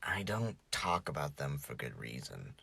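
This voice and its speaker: A young man playing a troubled, jokey teenage boy in an audio drama, with halting, stammering speech that drops into breathy murmurs. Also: a bass voice, very animated, sharp tone, no room sound, exaggerated voice. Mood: subdued, halting. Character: troubled, jokey teenage boy hiding pain behind mischief.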